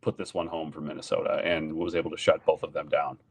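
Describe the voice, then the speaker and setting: A man's voice: extremely low pitch, flat delivery, quick pace, husky. A man in his thirties co-hosting a sports podcast from home over a desk mic, giving his blunt opinions in a loose, rambling way. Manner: opinionated, lots of fillers and restarts. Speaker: podcast co-host. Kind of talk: casual sports chat. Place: home room, indoors.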